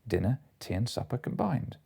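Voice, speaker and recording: A hearty, decisive gentleman from a comic storybook, read by a man. His voice is dark and husky, and he sounds sure of his plans. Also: medium pitch, sing-song, moderate pace, full character voice. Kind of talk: audiobook character voice.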